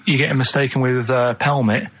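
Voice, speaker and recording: A man's voice: medium pitch, steady tone, a little fast, a bit bright. Male radio regular, Manchester accent, rambling casual storyteller, plain delivery, muffled lo-fi audio. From Manchester, England. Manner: rambling, matter-of-fact. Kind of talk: casual anecdote telling. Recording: slightly muffled lo-fi radio recording.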